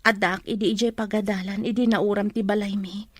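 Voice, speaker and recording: A woman's voice: mid-range voice, little pitch movement, brisk, no echo, studio quality, close to an everyday voice. A woman acting a humble young pregnant woman from a poor background in an Ilocano radio drama. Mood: sad, humble. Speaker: voice actor in an audio drama.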